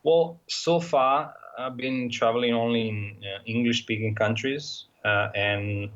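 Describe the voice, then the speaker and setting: A man's voice: mid-range voice, steady tone, unhurried, fairly bright tone, muffled recording. A man with an Italian accent, talking casually as an interview guest, hesitant and full of fillers and restarts. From Italy. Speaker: guest, motorcycle traveler.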